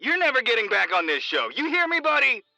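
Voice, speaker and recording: A man's voice: very high voice, sing-song, fairly quick, creaky, bright, forceful tone, soft background music, full character voice, low-quality recording. A man playing a radio talk show host who mocks a caller and cuts him off. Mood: angry. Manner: mocking, curt and dismissive.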